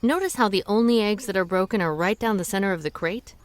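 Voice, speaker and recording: A woman playing a shrewd restaurant owner who plays amateur sleuth in a radio drama, wry and quick-witted. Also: mid-range voice, no echo, natural voice. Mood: thoughtful.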